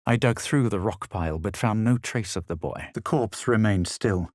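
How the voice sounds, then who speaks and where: A man voicing a smug, self-assured adventurer hero in a fantasy video game, with a husky voice and a measured, dramatic delivery. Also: lowish voice, darkish tone, dry recording, studio quality, lightly acted. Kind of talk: video game voice lines, narration and spell calls.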